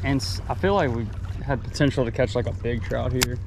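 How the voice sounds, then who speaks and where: A man's voice: mid-range voice, brisk, creaky. A young man from Texas talking casually with lots of fillers, filming his own fishing trip on a seaside jetty with a body-worn camera. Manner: chatty, relaxed, many fillers. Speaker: fishing vlogger. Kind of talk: casual fishing vlog commentary. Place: outdoors on a rock jetty by the sea. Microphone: action camera on body. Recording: outdoor wind and water noise. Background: wind, water and boat noise.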